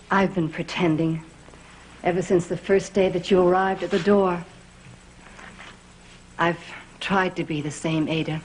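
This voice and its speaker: Unhappy wife, lowish earnest voice. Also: female voice, slight background noise, low-quality recording. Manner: earnest, confessional delivery. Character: unhappy married woman, earnest and yearning. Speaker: actor in a gothic soap opera. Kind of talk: dramatic gothic soap opera acting.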